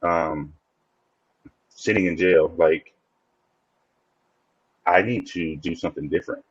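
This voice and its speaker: A man's voice: sits low, takes it slowly, slightly rough, no room sound, lo-fi sound. A man guesting on a podcast, talking reflectively in a casual interview and often restarting his sentences. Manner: reflective, speaks in restarts and repeats.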